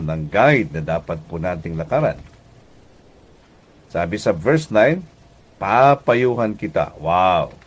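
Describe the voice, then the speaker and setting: A Filipino man preaching a radio devotional as pastor and host, deliberate in delivery, with pauses and emphatic repetition. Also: fairly low pitch.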